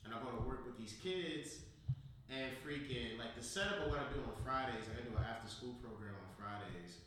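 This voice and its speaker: An American man hosting a casual podcast, chatting and telling stories in slangy, informal talk, his voice slightly hoarse from recovering after losing it. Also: medium pitch, fairly quick. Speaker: podcast host. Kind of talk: casual podcast chat and storytelling. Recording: echoey room, distant mic, faint noise.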